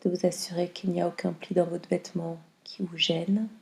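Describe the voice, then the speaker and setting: A woman guiding a yoga nidra meditation in a calm, soothing, unhurried way with long pauses. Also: fairly low pitch, creaky. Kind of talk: slow guided meditation.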